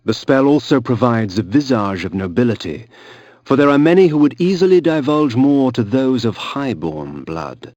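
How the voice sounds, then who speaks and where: A man's voice: medium pitch, very animated, darkish tone, no room sound, muffled recording, natural voice. Haughty undead nobleman played by a man, dramatically intoned. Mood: brooding, disdainful. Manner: haughty, measured, grandiloquent. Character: proud, disdainful undead nobleman, bitter and eloquent. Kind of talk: theatrical video game narration.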